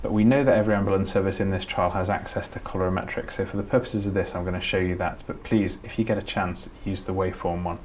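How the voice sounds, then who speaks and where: Low-voiced man teaching a practical skill. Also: fairly even intonation, fast, hardly any pauses, darkish tone, slight background noise. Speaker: doctor giving a training demonstration. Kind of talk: spoken practical instruction.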